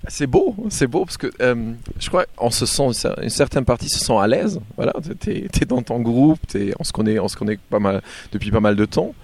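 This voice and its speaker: A man being interviewed as a band singer, chatting casually and haltingly, often repeating words as he goes. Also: medium pitch, lively intonation, darkish tone, no room sound. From born in Algeria.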